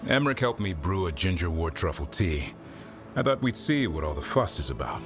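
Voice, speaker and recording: An actor playing a laconic monster hunter in a video game, speaking in a terse, dryly amiable way. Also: male voice, low-pitched, big pitch swings, fairly quick, over music. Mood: dry, easygoing. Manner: terse, understated, dry.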